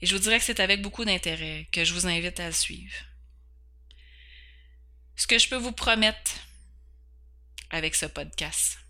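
Woman, 40s, Québécois accent; podcast host, earnest and candid, slight stumbles, crisp bright tone. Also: lowish voice, moderate pace, pauses often. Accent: Québécois French. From Quebec, Canada. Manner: earnest, candid, occasionally stumbling over words. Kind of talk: personal introduction.

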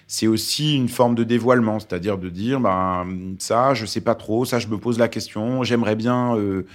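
A man's voice: on the low side, normal speed. A man in his forties from Lebanon, a native Arabic speaker, talking thoughtfully as a journalist guest with many hesitations. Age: 40s. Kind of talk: interview conversation.

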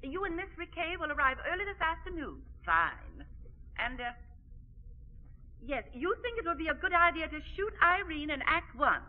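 A high, very animated voice plays a flustered, polite middle-aged mother on the telephone. It is 1940s radio acting with thin, phone-like sound. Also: moderate pace.

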